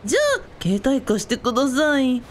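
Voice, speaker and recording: A woman's voice: sits high, moderate pace, slight background noise, close to an everyday voice. A woman playing a bubbly, helpful female character in a radio drama. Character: bubbly, helpful woman who speaks in third person.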